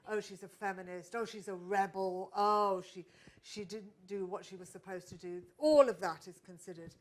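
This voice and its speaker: A woman's voice: mid-range voice, moderate pace. A woman comedian speaking on a live panel, candid and reflective, often restarting her sentences. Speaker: stand-up comedian, panel guest. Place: stage at a live podcast event.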